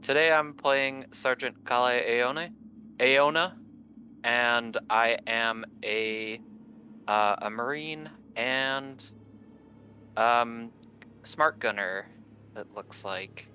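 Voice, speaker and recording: A man's voice: medium pitch, steady tone, very slow, long pauses, pushed and bright. A man playing a tabletop game, chatting casually as he introduces his character. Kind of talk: casual chat. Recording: dull lo-fi mic, faint noise.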